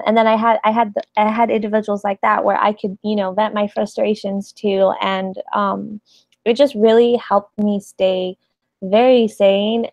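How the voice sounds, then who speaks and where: A woman's voice: mid-range voice, creaky, dry recording. A young adult woman speaking on a panel, hesitant and full of fillers, on a dull lo-fi recording. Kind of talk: casual panel discussion. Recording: dull lo-fi audio.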